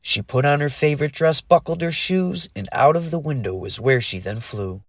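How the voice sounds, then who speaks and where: A man narrating a rhyming children's story in an expressive, sing-song way. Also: fairly high pitch, moderate pace, no room sound, clean studio sound.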